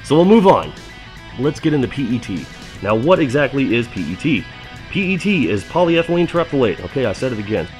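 A man's voice: mid-range voice, big pitch swings, a little fast, soft background music. A man in his thirties hosting a fabrication show, chatty and upbeat as he demonstrates in a metal shop. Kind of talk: casual how-to demonstration.

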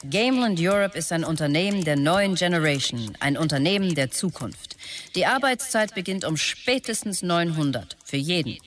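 Actress as low-voiced female executive over music. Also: expressive, normal speed, natural voice. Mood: brisk, commanding. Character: controlling, lovesick female tech-company executive. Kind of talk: audio drama, reading out e-mails and memos. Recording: music behind the voice.

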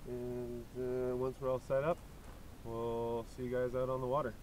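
A man vlogging outdoors by a small creek while fishing, explaining his setup in a relaxed, casual way. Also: mid-range voice, moderate pace. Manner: relaxed, explains steps, excited shouts at fish. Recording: tinny, lo-fi with outdoor noise.

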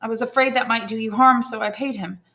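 A woman voicing a frail, gentle but proud old father in an audiobook, meek and reassuring. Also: a little high, steady tone, fast talker, pitched above the performer's own voice. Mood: reluctant, confessing. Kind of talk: audiobook character dialogue.

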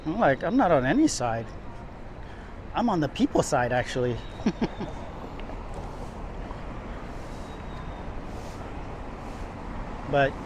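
A man giving a casual, rambling walking tour as a vlogger, often repeating and rephrasing himself as he goes. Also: medium pitch. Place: outdoors, walking on downtown sidewalks. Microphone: handheld camera while walking. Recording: clear outdoor city street noise, traffic.

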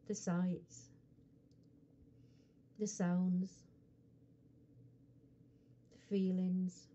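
A woman with a very soft, dark, mellow voice leads a guided hypnosis session. She speaks slowly and soothingly, leaving frequent pauses between short phrases. The recording is somewhat muffled and dull. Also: mid-range voice, a little hiss or hum. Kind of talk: guided hypnosis relaxation script.

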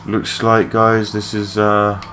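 A young man with a low voice giving rambling, matey, sweary gameplay commentary in a casual unscripted way. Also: monotone, slow pace, bright, forceful tone. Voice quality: low-pitched voice. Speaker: gaming video creator. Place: his room at home. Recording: clearly noisy home mic recording.

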